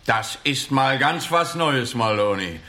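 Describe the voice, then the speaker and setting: An actor playing a teasing, matter-of-fact police detective in a radio crime comedy, with a wry manner. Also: male voice, mid-range voice, expressive, slow, sharp tone. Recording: noticeable background noise and ambience.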